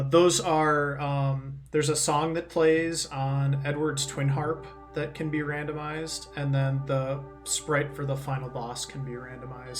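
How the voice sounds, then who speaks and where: A man giving casual live commentary as he speedruns a game, rambling and thinking aloud. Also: medium pitch. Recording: game music behind the voice. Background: game music.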